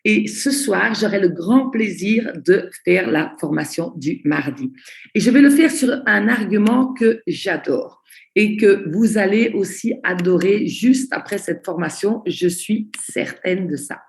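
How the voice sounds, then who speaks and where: Italian-born woman trainer, slow and deliberate, echoey video call. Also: mid-range voice, lively intonation, creaky. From Belgium. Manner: slow, deliberate, repeats key points. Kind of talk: online training presentation, spoken slowly for interpreters.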